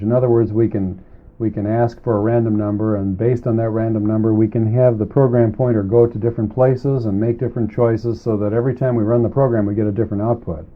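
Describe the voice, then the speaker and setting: Low-voiced man on muffled old cassette. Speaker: programming instructor. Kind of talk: semi-scripted spoken computer tutorial.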